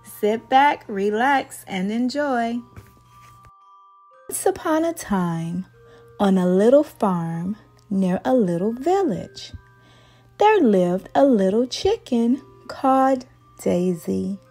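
A woman reading a story aloud to young children in an expressive, singsong storytelling manner. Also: a little high. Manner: slow, expressive, singsong delivery. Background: background music.

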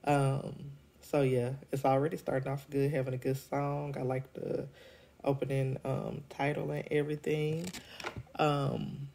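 Deep-voiced woman with a head cold. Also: little pitch movement, unhurried, vocal fry, warm tone. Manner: chatty, laughs often, many ums. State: congested from a cold. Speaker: show reviewer. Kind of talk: casual vlog review. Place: indoors at home. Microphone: phone held at arm's length.

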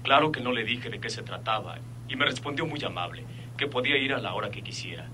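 A man's voice: medium pitch, lively intonation, fast talker, pushed and bright, slight background noise. A man playing an earnest, respectful son and investigator with a Mexican accent in a radio soap. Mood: grave reporting. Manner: respectful, formal.

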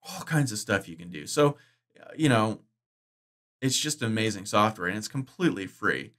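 A middle-aged man vlogging about course-making software, chatty and enthusiastic, his voice bass-boosted with the breaths filtered out. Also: lively intonation, normal speed. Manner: chatty, enthusiastic, loose with fillers and restarts. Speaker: online course creator. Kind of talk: casual explanatory vlog. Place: indoors, green-screen studio.

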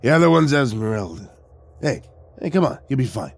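A man's voice: mid-range voice, very animated, a little fast, creaky. A man voicing a breezy, slangy male coworker in an audiobook, cajoling and reassuring. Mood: reassuring, coaxing. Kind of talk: audiobook character voice.

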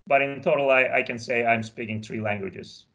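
Born in Bulgaria with Turkish as his first tongue, this man in his thirties explains sensor gear slowly and carefully, his voice sitting at a plain middle pitch. He talks over a webcam on a video call from a small home office, handling equipment as he speaks. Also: fairly even intonation, creaky, bright, forceful tone, muffled recording. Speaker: product specialist guest. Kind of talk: technical demo and interview talk.

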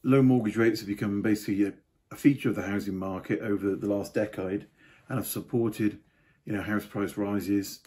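A man's voice: lowish voice, steady tone, normal speed, darkish tone. An older man giving a casual market update to camera from a home study, with offhand asides. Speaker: property market commentator.

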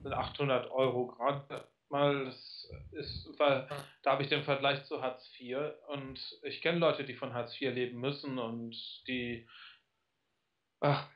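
A male radio host, measured, asking pointed questions in a political interview. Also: mid-range voice, fairly even intonation, far from the mic, muffled recording.

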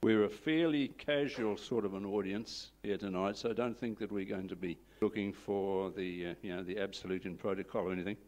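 A man with a lowish voice introduces the guest at a church gathering, halting often with "uh" and "um" as he speaks. He sounds casual and a little unsure as he hands over to the speaker. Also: steady tone, a little mellow. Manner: hesitant, full of uhs. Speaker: church host. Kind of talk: welcome announcement.

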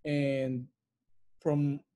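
A man's voice: mid-range voice, monotone, drawn-out words, mellow, clear, resonant tone. A middle-aged man answering interview questions conversationally as a guest, repeating phrases for emphasis. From immigrant to Canada. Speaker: interview guest, real estate investor. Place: bright living room at home.

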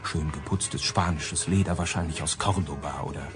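An actor playing a sardonic narrator in a German radio crime drama, addressing listeners with theatrical, wry asides. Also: male voice, rumbling low, moderate pace, music in the background. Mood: wry, urgent.

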